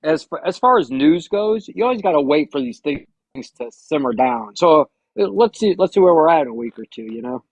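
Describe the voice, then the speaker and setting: A man from Indiana calls into a live stream and answers with halting hesitation. His voice is gravelly with a lot of vocal fry, and the sound is very dry and close. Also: fairly high pitch, animated, no echo, dull audio. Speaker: caller. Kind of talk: casual call-in chat.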